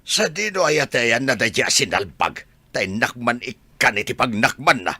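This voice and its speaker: An actor playing an indignant, protective older father in an Ilocano radio drama, with a husky voice and an Ilocano accent. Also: mid-range voice, animated, moderate pace, pushed and bright, no echo, heavily acted.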